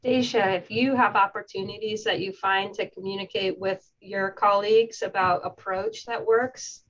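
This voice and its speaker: A woman's voice: mid-range voice, steady tone, moderate pace, fairly bright tone, dull audio, slight background noise. A woman care coordinator speaking casually about care approaches in a case discussion over a video call.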